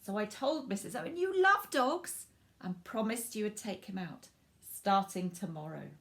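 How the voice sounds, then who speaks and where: A woman reading the part of a busy, gently firm mother reasoning with her child in a storybook. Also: mid-range voice, lively intonation, moderate pace. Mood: encouraging.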